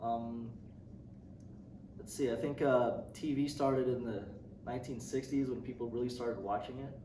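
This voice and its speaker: A man's voice: mid-range voice, steady tone, normal speed. A young man from Indonesia answering interview questions casually, with many ums, restarts and light laughs. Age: young adult. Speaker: student interviewee. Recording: roomy, distant mic, noisy.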